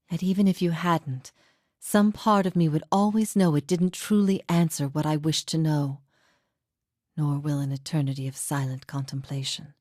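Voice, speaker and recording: A grave female teacher of a lost faith in a fantasy game, long imprisoned and forgiving. Her voice is low and closely dry, and she speaks in measured, reflective phrases, posing solemn questions like a philosopher. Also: a little mellow, no room sound. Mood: wistful, resigned. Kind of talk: scripted fantasy game dialogue.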